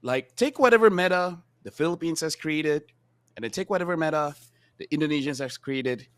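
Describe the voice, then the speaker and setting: A man's voice: on the high side, lively intonation, brisk, smooth, no echo. A man bantering casually as a podcast pundit, blunt and joking. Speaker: podcast panelist and esports pundit.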